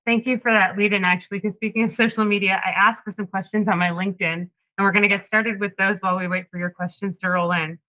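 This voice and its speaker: Bright-voiced friendly panel moderator. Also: mid-range voice, level tone, quick pace, hardly any pauses, low-quality recording. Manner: friendly, conversational, asks guests questions. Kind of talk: hosting a careers panel.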